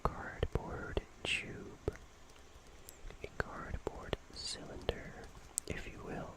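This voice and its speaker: Very slow, whispering ASMR host. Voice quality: soft, breathy unvoiced whisper. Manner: slow, gently repeats phrases.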